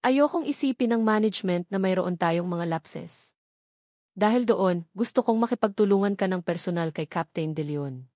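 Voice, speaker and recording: A woman's voice: fairly low pitch, lively intonation, normal speed, darkish tone, no room sound, studio quality, natural voice. A woman voicing a stern, authoritative female boss in an office romance story. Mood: stern, demanding.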